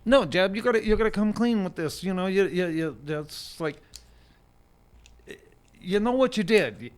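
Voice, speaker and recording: A man's voice: high voice, animated, moderate pace, clean tone. A man playing a shifty older celebrity lawyer in an improvised radio comedy, stammering and repeating words as he evasively pushes a scheme. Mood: defensive. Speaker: studio guest.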